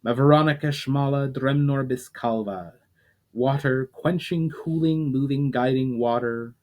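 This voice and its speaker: A man reading the chant of a noble lady calling on the power of water, slow and incantatory. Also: mid-range voice, darkish tone, close to an everyday voice.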